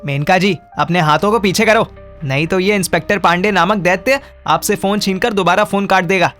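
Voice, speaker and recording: A man voicing an excitable, indignant, foul-mouthed young friend in a comic audio drama, ranting and accusing. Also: high voice, brisk, soft background music. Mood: sardonic.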